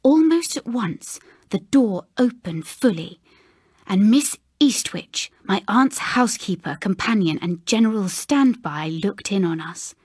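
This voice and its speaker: An English woman narrating a scripted ghost story in a measured, dramatic way with hushed phrases. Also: medium pitch, expressive, husky, no echo, clean studio sound. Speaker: audiobook narrator.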